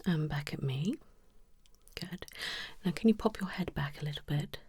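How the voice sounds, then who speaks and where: A woman whispering as a gentle eye specialist. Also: sits low, moderate pace, slight background noise, close to an everyday voice. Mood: soothing. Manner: soft whispered instructions, calls the listener "my lovely". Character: gentle female eye specialist examining a patient. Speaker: ASMR creator playing an eye specialist. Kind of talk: whispered ASMR roleplay.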